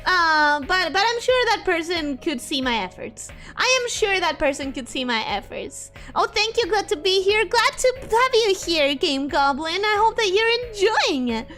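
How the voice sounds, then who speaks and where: A young woman streamer talking from a home setup. Her voice is bright and crisp, and it swings all over the range, from squeaky highs down to grumbling lows. Soft music plays quietly under her talk. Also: moderate pace, hardly any pauses. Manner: expressive, swings between high squeals and low mutters. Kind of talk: casual chat reading viewer messages.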